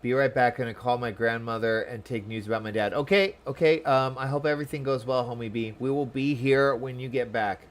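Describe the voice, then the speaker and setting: Chatty male game streamer, medium pitch. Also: fairly even intonation, fairly quick, few pauses. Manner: informal, reactive, chatty. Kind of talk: casual live gameplay commentary.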